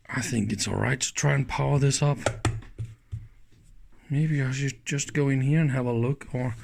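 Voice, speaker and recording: A man doing casual, hands-on teardown commentary, thinking aloud and openly admiring the equipment. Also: mid-range voice. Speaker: electronics teardown host.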